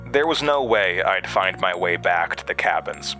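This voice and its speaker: An actor playing a haunted man recounting a terrifying memory in a horror audio drama, halting and hesitant. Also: deep voice, expressive, pushed and bright, soft background music. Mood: haunted, tense. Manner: halting, hesitant, with stammered restarts.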